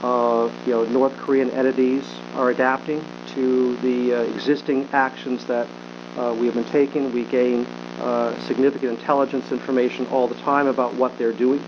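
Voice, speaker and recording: A man speaking unscripted as a government official at a briefing, measured and halting, with frequent filled pauses. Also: mid-range voice, level tone. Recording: dull broadcast audio with clear hum.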